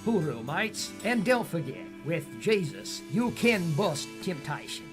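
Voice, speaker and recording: A woman's voice: on the low side, big pitch swings, unhurried, over music. A woman playing a cheery character, giving an audio drama sign-off. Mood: upbeat. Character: cheery Australian character signing off with moral slogan.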